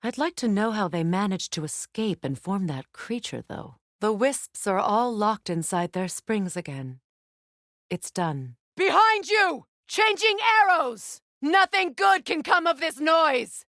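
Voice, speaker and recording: A woman's voice: on the high side, very animated, measured pace, fairly bright tone, no echo, heavily acted. A fierce goddess and mother from a fantasy video game. She is proud and wounded by grief, speaking with grave, commanding intensity in a close, dry recording. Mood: urgent battle shouts.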